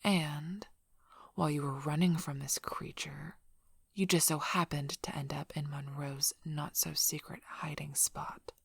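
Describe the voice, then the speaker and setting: ASMR roleplay role: protective alpha werewolf female, woman performer, husky and unhurried, quietly threatening, close dry mic. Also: low-pitched, fairly even intonation, dry recording, exaggerated voice. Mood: accusing, skeptical. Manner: slow, menacing, suspicious, often breathy whispering.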